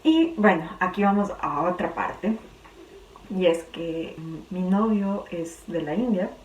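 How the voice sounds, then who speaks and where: A woman's voice: fairly low pitch, animated, takes it slowly, a little mellow, muffled recording. Woman with a Quito accent, roomy and distant. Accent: Quito Ecuadorian Spanish. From Ecuador. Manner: chatty, searching for words. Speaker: vlogger. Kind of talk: casual personal vlog. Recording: roomy, distant, faint background hum.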